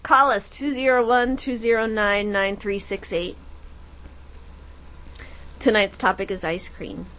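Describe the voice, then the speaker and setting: A woman with a high-pitched voice hosting a call-in radio show, joking casually between calls. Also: fairly even intonation, a bit bright, a little hiss or hum, poor audio. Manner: joking, quick, takes calls. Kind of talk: casual on-air banter.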